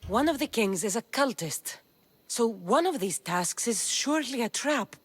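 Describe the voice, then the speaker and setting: A woman's voice: fairly high pitch, expressive, unhurried, husky, dry recording. An actress playing a dry-witted female warrior mercenary who talks in a dry, sardonic way in a video game cutscene.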